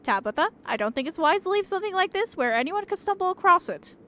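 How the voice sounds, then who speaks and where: A woman voicing a composed, brisk mother in a video game, measured and persuasive. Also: very high voice, big pitch swings, fairly bright tone, voice pushed up, full character voice. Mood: matter-of-fact.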